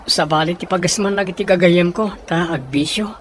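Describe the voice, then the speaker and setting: A man playing a sheltered, sympathetic young man from a rich family in an audio drama, hesitant and gentle, with a northern Philippine accent. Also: high-pitched, steady tone, brisk. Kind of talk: acted audio drama dialogue. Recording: faint background noise.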